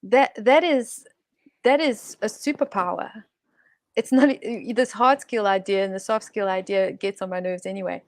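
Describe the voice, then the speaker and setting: A woman's voice: medium pitch, moderate pace, no echo, studio quality. A woman guest on an online talk show, speaking in a thoughtful, expansive way with frequent restarts. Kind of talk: reflective online conversation. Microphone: video call.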